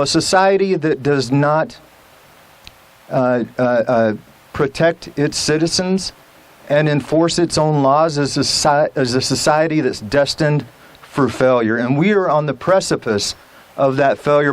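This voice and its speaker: A man with a fairly high voice gives a rousing rally speech. He talks emphatically, pushing his points with rhetorical questions. It sounds like a public address to a gathered crowd. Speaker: legislator speaking at a rally.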